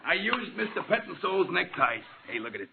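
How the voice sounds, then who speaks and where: An actor playing an eager, slangy working-class sidekick in a 1940s radio drama. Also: male voice, high voice, sing-song, moderate pace, gravelly, exaggerated voice. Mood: proud, amused. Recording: tinny, noisy old radio broadcast.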